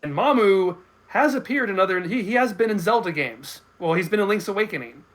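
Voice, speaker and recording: A man with a bright, forward voice pitched a bit high talks casually as a guest. He explains things like a well-versed fan, often starting his sentences haltingly. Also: animated, poor audio. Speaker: guest co-host. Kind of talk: casual chat about games.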